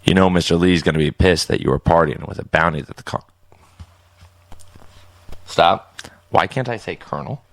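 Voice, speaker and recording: Very deep, gravelly man. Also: normal speed, long pauses, no room sound. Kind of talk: line reading with casual asides.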